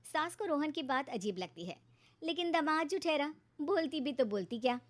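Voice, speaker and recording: Female narrator, high voice, scripted storytelling for cartoon moral tales. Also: animated, normal speed.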